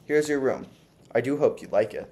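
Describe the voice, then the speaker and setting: A man's voice: medium pitch, animated, moderate pace, darkish tone, voice pushed up, natural voice. A man voicing a gushing, fussy older landlady who is sweetly sinister, with a doting, sugary manner.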